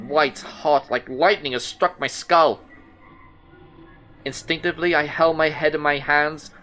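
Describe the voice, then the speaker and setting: Male actor as troubled detective, mid-pitched voice, first-person horror narration, measured and brooding, clear music bed underneath. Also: pushed and bright, lightly acted, low-quality recording. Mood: pained. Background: music soundtrack.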